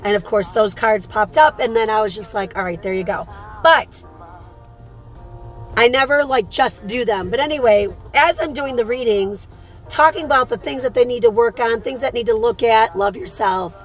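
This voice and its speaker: A woman with a hoarse voice hosting a casual live morning chat. She reads cards for people, talks chattily and calls her viewers sweetheart. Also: a little high, moderate pace, faint music behind. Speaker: host and card reader.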